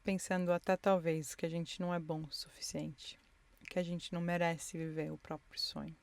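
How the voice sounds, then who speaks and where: Woman, low voice, dry close sound in a car, intimate reflective solo host. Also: little pitch movement, moderate pace, gravelly, no room sound. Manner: reflective, encouraging, speaks directly to listeners. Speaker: podcast host. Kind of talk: intimate solo podcast monologue. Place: inside her car.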